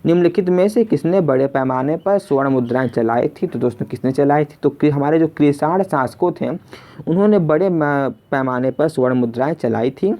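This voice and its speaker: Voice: medium pitch, moderate pace, warm tone. A teacher narrating an exam lesson in question-and-answer form, repeating questions and addressing listeners as friends. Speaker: quiz teacher.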